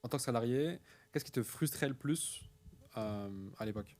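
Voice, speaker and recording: A young man hosting an interview, coaching in e-commerce, speaking with an energetic, punchy manner that repeats words for rhythm. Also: mid-range voice, moderate pace.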